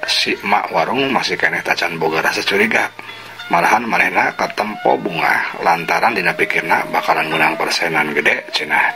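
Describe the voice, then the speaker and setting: A man narrating a folk tale in a lively storytelling manner. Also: very deep voice. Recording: music bed under narration. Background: background music.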